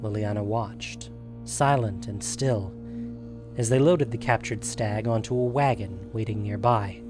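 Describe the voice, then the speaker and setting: A man reading scripted fantasy fiction as a narrator, in a measured, dramatic storytelling manner. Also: fairly low pitch, steady tone, music in the background.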